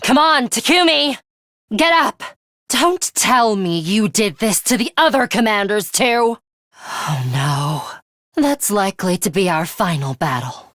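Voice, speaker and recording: A woman voicing a cool, guarded young female fighter in a video game, with a measured, composed and imperious way of speaking. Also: medium pitch, expressive, a bit bright, dry recording, studio quality. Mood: alarmed. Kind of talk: English video game voice acting, dramatic anime-style dialogue.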